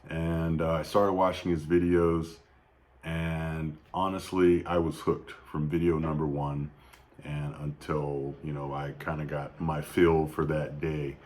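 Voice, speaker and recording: A man in his thirties speaking off the cuff in a somber, reflective video tribute, halting often with fillers and restarts. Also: rumbling low, little pitch movement, darkish tone. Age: 30s. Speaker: YouTube vlogger. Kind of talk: unscripted personal tribute.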